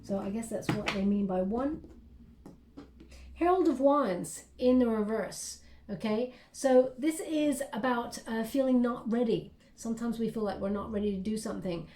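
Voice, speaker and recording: A woman's voice: fairly high pitch, moderate pace, dark tone, roomy sound. A woman giving a tarot reading in an encouraging, reassuring way, with frequent fillers. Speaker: tarot reader.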